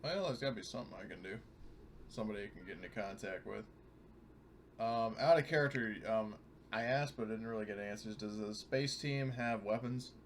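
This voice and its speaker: A man's voice: lowish voice, a little fast. A man talking casually as a player in a tabletop roleplaying session. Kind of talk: casual game chat. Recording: faint noise on home mic.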